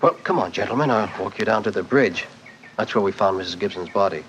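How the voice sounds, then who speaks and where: An actor playing a deferential local English police sergeant with colloquial, rustic speech in a 1940s radio drama. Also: male voice, fairly low pitch, quick pace, lightly acted. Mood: friendly, candid. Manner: colloquial, rustic grammar, respectful. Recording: muffled, noisy 1940s radio recording.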